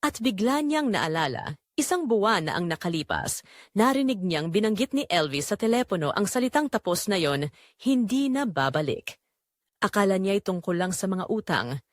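A woman with a Filipino accent narrating a scripted drama in measured, suspenseful short phrases. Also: mid-range voice, very animated, no echo, studio quality.

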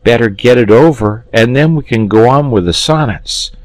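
A man reading a story, voicing an eager, excitable young boy in a raised, pleading voice. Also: medium pitch, normal speed, no echo, voice pushed up, close to an everyday voice.

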